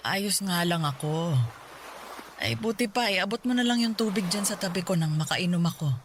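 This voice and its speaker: Acted elderly mother, ailing, weary, gently reassuring, radio drama, noisy ambience. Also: medium pitch, expressive, moderate pace, lightly acted. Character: sick elderly mother, weary but affectionate.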